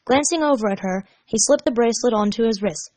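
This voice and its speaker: A woman's voice: fairly high pitch, fast talker, a little mellow, no room sound. A woman narrating a scripted story as a fan fiction reader.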